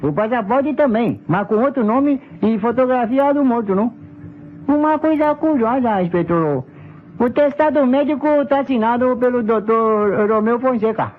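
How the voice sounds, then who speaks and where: An actor playing a polite, deferential police assistant with halting foreign phrasing in an old radio mystery. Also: medium pitch, close to an everyday voice. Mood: polite, eager. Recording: tinny, phone-like old radio recording.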